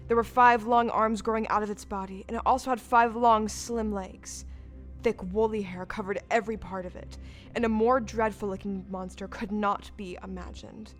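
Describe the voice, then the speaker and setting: A woman giving scripted storybook narration in an audio play. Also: medium pitch.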